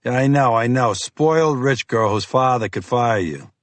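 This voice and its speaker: A man putting on a gruff Boston FBI agent. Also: mid-range voice, moderate pace, no room sound, voice pushed up, close to an everyday voice. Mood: exasperated. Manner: brusque, bossy, mocking. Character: gruff sarcastic tubby male FBI agent, Boston accent.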